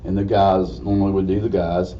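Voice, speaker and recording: A man with a slightly raspy voice gives an informal history lecture in a folksy, halting way. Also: deep voice, little pitch movement, dark tone. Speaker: guest lecturer. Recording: distant mic, dull muffled sound, faint noise.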